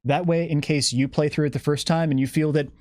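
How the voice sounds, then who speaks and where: A man's voice: fairly high pitch, fairly even intonation, brisk, darkish tone, no room sound, clean studio sound. A man speaking as a guest on a live stream, explaining things in a detailed, methodical way. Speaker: guest game developer giving campaign updates.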